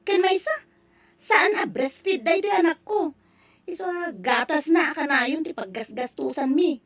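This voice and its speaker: A woman playing a blunt, resentful young mother complaining sharp-tongued to her parent in an Ilocano drama. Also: sits high, unhurried, far from the mic. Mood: annoyed. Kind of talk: Ilocano radio-style drama acting.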